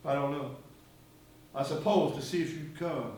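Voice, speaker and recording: An actor playing a weary, outspoken activist in a stage play, brooding and intense. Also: male voice, a little high, normal speed, a little mellow, lightly acted. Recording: echoey, distant stage recording, faint hiss.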